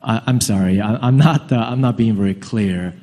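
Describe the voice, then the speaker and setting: A man asking an analyst's questions on a conference call, unscripted and halting, rephrasing as he goes. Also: on the low side, dark tone, in a large hall.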